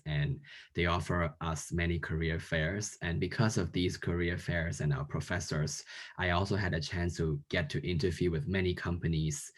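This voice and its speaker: Low-voiced man from Hong Kong. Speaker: guest. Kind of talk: casual interview answers.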